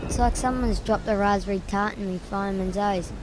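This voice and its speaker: Man voicing a cocky young lad, high, hissy lo-fi audio. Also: steady tone, a little fast, natural voice. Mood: teasing. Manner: cocky, teasing. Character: cheeky young lad in a boat. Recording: noisy, overdriven amateur audio.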